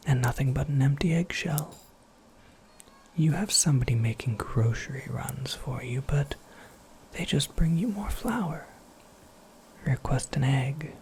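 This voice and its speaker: A performer acts out a wry, soft-spoken witch mentor for a whispered ASMR roleplay. The breathy whisper moves very slowly and crackles with fry. The phrasing is formal, cryptic and dryly playful, over faint background noise. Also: mid-range voice, natural voice.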